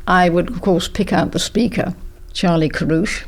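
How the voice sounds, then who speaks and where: A woman's voice: lowish voice, fairly even intonation, normal speed, slight background noise. An older woman, a former politician, reminiscing in an interview in an effusive, emphatic, well-spoken way.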